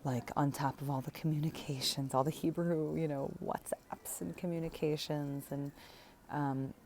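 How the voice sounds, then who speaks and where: A woman's voice: deep voice, fairly even intonation, normal speed, noisy background. A woman in her thirties, chatting casually in a personal vlog, rambling with fillers, self-corrections and light laughs. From United States. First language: English. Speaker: vlogger.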